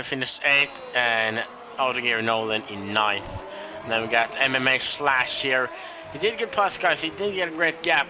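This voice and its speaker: A man's voice: medium pitch, background noise. A man with a Norwegian accent, a second-language English speaker, doing solo live commentary of a sim race, hesitant with many fillers and self-corrections.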